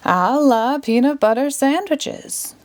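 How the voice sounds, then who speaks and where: A woman voicing a cheerful, grandiose male stage magician in a storybook, with theatrical, drawn-out delivery. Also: sits high, lively intonation, darkish tone, voice pushed up. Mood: showy.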